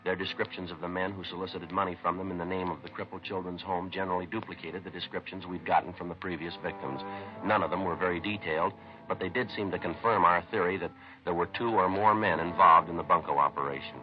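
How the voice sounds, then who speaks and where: An actor playing a terse American police sergeant in a 1950s radio drama, clipped, deadpan and matter-of-fact. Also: male voice, low voice, quick pace, close to an everyday voice. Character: businesslike, terse male police sergeant detective. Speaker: lead actor and narrator. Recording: dull 1950s radio recording, music behind.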